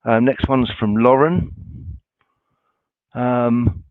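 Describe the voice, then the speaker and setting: A man's voice: medium pitch, steady tone, extremely slow, dry recording. A man from a college staff answering viewer questions in a webinar, informal and reassuring, with many ums and restarts. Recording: dry, tinny phone-like sound.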